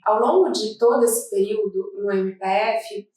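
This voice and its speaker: A woman prosecutor in her thirties from Brazil, with a Rio de Janeiro accent, speaking in a measured, thoughtful way and sometimes restarting a phrase. Her mid-range voice carries heavy reverb from a bare room. She sits some distance from the microphone. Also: mid-range voice, mellow. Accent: Brazilian Portuguese, Rio de Janeiro. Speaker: federal prosecutor. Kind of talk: oral history interview. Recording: echoey room, mic at a distance.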